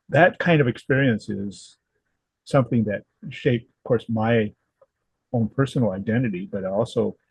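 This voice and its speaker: A man in his seventies from Southern California, answering interview questions in a thoughtful, halting way with many fillers and restarts. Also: on the low side, a little mellow, breathy edge, dry recording, rough recording. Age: 70s. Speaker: guest, historical society president.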